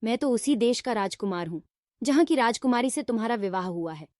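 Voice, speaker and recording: Lady doing a wise fairy tale fox, highish voice, dry sound, tells you off but helps out. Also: lively intonation, fairly quick, a little mellow, no room sound, natural voice. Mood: resigned, pleading. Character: wise scolding helpful female fox under a spell. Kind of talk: Hindi fairy tale character voice.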